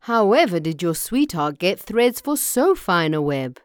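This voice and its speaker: A woman's voice: mid-range voice, very animated, slow, smooth, no echo, clean studio sound. A woman voicing an old male farmer, a storybook father who makes emphatic pronouncements. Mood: amazed. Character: gentle old male farmer, judging and easily amazed father. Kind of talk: storybook character voice.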